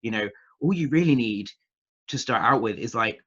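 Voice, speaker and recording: A man with a southern English accent talks in a casual interview through slightly muffled, lo-fi audio. His voice sits at a middling pitch. He rambles and hesitates, circling round his points as he goes. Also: animated, moderate pace, fairly bright tone, slightly rough. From England, near London. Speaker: interview guest, witchcraft practitioner.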